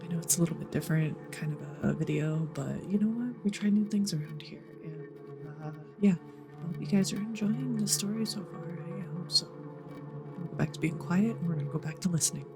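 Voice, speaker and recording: A woman's voice: on the low side, fairly quick. A woman hosting a gaming video with casual, informal asides to viewers. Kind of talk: casual let's-play commentary. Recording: music behind the voice.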